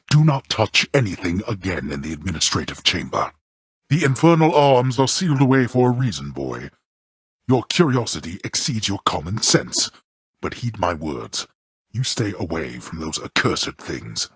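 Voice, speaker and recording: A man's voice: lowish voice, a bit bright, breathy edge, dry recording, clean studio sound, full character voice. A man voicing a stern, scornful god of the underworld for a video game, scolding imperiously in formal, archaic phrasing like a domineering father. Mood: stern warning.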